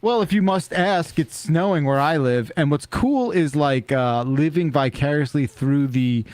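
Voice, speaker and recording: A man's voice: a little high, unhurried, darkish tone, dry recording. A man hosting a casual podcast, bantering in a teasing way and swearing casually. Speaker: podcast host.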